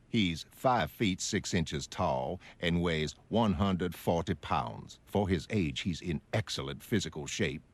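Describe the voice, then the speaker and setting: A man playing an earnest, self-assured psychoanalyst in a motion comic, speaking in a measured, clinical way. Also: sits low. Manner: measured, clinical, gently coaxing with patient. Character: earnest, self-assured male psychoanalyst dictating case notes. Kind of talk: scripted dramatic narration of a motion comic.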